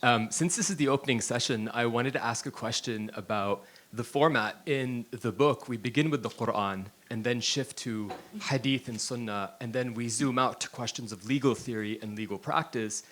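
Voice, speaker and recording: A man's voice: mid-range voice, moderate pace, a bit bright. Casting note: male academic, mid-range voice, precise and composed. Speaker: academic audience member.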